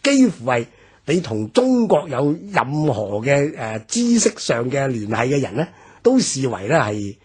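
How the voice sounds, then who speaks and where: A man's voice: medium pitch, sing-song, unhurried, darkish tone, breathy edge. A man in his sixties with a Hong Kong Cantonese accent, recounting memories and history in a reflective radio talk. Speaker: radio commentator.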